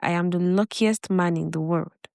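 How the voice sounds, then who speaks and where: A woman voicing a smooth-talking, scheming male suitor in a coaxing, reassuring manner. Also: fairly low pitch, steady tone, moderate pace, a little mellow, no room sound, clean studio sound.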